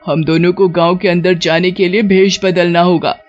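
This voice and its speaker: A woman's voice: on the low side, level tone, moderate pace, darkish tone, natural voice. A gleefully predatory cartoon witch, acted by a woman taunts and gloats with hungry relish. Her lines are drawn out and sly. The sound is slightly dull, with music behind. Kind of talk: animated Hindi moral story.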